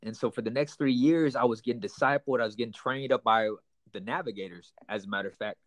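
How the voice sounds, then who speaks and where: Guest: young man from Texas, earnest, halting, mid pitch, dry close sound. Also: dry recording. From United States, raised in Houston, Texas. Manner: earnest, halting with many fillers and restarts. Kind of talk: personal testimony in an interview.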